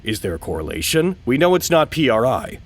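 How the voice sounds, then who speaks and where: A man playing a harried, quick-witted radio dispatcher in an audio drama, flustered and dryly evasive. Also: mid-range voice, big pitch swings. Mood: brisk problem-solving.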